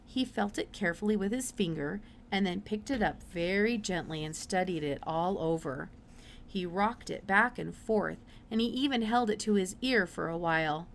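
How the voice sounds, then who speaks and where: A woman's voice: mid-range voice, normal speed, vocal fry, slight background noise. A woman reading a children's story aloud as scripted narration. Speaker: storybook reader.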